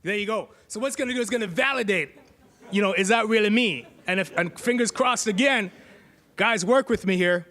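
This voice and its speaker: A man's voice: sits high, a little fast, pushed and bright. A middle-aged man giving a playful, chatty live tech demo on stage, joking with the crowd into a handheld mic.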